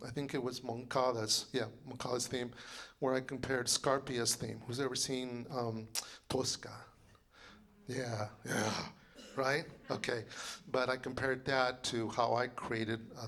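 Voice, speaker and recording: A man in his sixties, a composer and librettist, giving a loud, rambling informal lecture full of fillers and restarts. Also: mid-range voice. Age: 60s.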